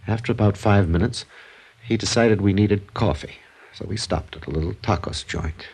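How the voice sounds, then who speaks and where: Very deep, husky, weary old drifter. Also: male voice, normal speed, a little mellow. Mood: weary recounting. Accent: American. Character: weary, bitter, soft-mannered male ex-con drifter near sixty. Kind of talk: 1950s American radio crime drama acting.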